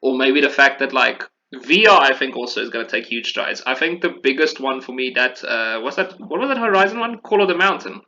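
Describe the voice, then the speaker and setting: A man's voice: mid-range voice, lively intonation, fairly quick, hardly any pauses, bright, forceful tone, muffled recording. A man chatting casually as a podcast host, rambling and correcting himself as he talks. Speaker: podcast host discussing video games. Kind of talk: casual chat.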